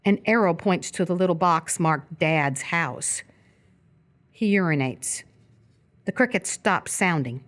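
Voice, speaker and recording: A woman's voice: on the low side, slow, fairly bright tone. A woman reading scripted stage directions as the narrator of a live radio theatre piece. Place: live stage performance before an audience.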